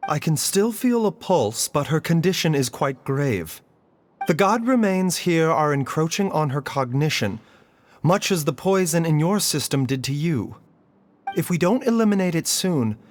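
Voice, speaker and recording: A man voicing a gentle, composed doctor who speaks softly and clinically. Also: on the high side, normal speed, soft background music, close to an everyday voice. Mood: concerned. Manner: soft-spoken, calm, clinical. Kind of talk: video game cutscene voice acting.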